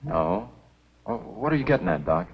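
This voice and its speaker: Casting: level-headed, stubborn warden, very deep husky voice, 1950s monster movie. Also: male voice, big pitch swings, moderate pace. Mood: thoughtful. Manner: firm, matter-of-fact. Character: stubborn, principled male game warden, level-headed and firm. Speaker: film actor. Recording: dull 1950s film soundtrack.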